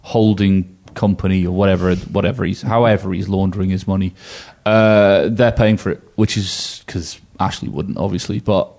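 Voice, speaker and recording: A man with a somewhat low voice gives blunt, opinionated takes in a casual football chat. He rambles and restarts his sentences as he builds his points. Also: steady tone, moderate pace, vocal fry, hardly any pauses, darkish tone.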